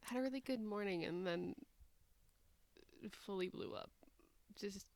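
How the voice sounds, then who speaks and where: A woman's voice: medium pitch, unhurried, gravelly, a little mellow, no echo. A woman with an American Midwestern accent co-hosting a podcast, chatting casually in a candid, gossipy, self-deprecating way. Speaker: podcast co-host. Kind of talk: casual chat.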